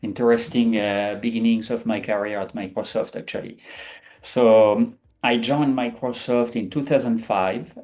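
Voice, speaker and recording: A man's voice: fairly low pitch, fairly even intonation, moderate pace, a little mellow. A man in his fifties with a French accent, a guest in an interview who talks with frequent hesitations and restarts. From France. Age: 50s. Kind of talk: conversational interview.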